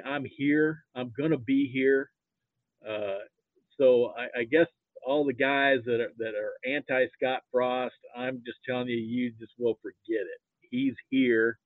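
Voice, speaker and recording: A man's voice: medium pitch, no echo. American man on a thin, phone-like line. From Nebraska, United States. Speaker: podcast guest, sports fan. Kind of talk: casual chat.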